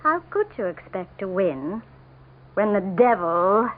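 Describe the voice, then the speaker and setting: An actress in an old radio drama playing a sly devil, smugly polite, mocking and theatrical. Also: female voice, mid-range voice, sing-song, takes it slowly, very noisy. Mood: smug, taunting. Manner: smooth-talking, mocking, theatrical. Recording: tinny, phone-like old radio recording.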